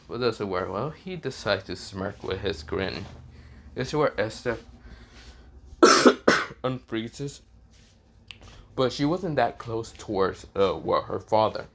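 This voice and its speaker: A man who is sick and coughing, telling a fan fiction story in a rambling, halting way, often restarting with "this is where, well". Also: fairly low pitch, vocal fry, slight background noise.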